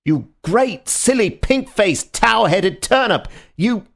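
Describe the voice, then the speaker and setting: A man's voice: high voice, very animated, normal speed, vocal fry, pitched above the performer's own voice, heavily acted. A man voicing an exasperated, flamboyant nobleman who pleads and rants in breathless bursts. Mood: furious ranting. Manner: pleading, then roaring, breathless tirades.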